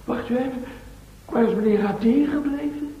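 High-pitched, startled helper, echoing. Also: male voice, normal speed, lo-fi sound. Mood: startled, puzzled. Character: naive, startled male helper on an expedition. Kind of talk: radio play acting. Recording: echoing hall, heavy background noise.

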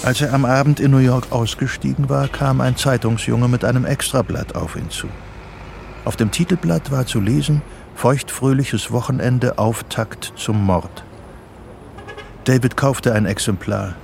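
An actor as the narrator of a psychological thriller, reading scripted radio drama narration. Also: male voice, mid-range voice, unhurried, creaky, a little mellow, slight background noise. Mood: sober.

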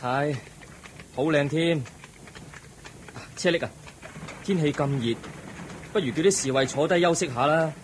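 A man's voice: a little high, unhurried, long pauses, slight background noise, lightly acted, rough recording. A man playing a thoughtful, compassionate young crown prince in a Cantonese audio drama, earnest and questioning. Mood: pensive.

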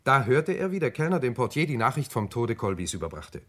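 Lively, eager police assistant. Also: male voice, mid-range voice, brisk. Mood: animated reporting. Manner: deferential, animated, colloquial. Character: eager, deferential British police assistant, chatty and dutiful. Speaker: radio drama actor. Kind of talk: German radio crime drama acting.

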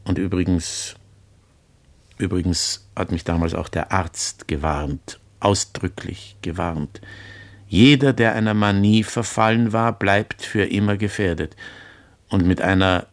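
A man's voice: low voice, measured pace, no echo. An actor playing a haunted, courteous former prisoner wary of his old obsession, speaking formally and hesitantly in a radio play. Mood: grave, uneasy. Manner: hesitant, repeats phrases, formal and polite. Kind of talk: literary radio play monologue.

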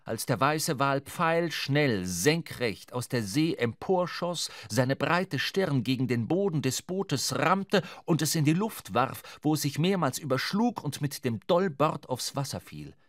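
A man's voice: on the high side, animated, measured pace, few pauses, no echo. A man narrating a literary sea adventure for a radio drama, recorded close in a studio.

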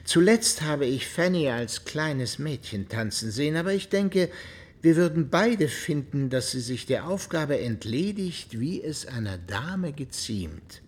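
An actor playing a formal, courteous, authoritative older uncle in a German radio play. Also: male voice, fairly high pitch, animated, measured pace. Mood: courteous, measured. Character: formal, dignified patriarch uncle, measured and decided.

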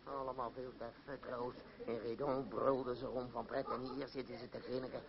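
A man's voice: medium pitch, little pitch movement, normal speed, creaky, close to an everyday voice. An actor playing a resentful, exasperated older man who runs a theatre troupe, in a radio drama. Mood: irritated grumbling. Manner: exasperated, grumbling. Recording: muffled old radio play, loud crowd noise.

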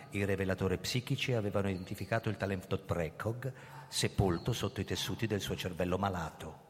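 An actor playing a hunted middle-aged police chief in a radio play, telling his story theatrically, moving between hushed narration and agitated outbursts. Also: low voice, lightly acted. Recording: long hall reverb, music underneath. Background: music.

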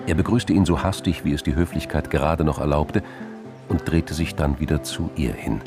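A man narrating a scripted crime drama in a measured, sober storytelling manner. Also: rumbling low, over music.

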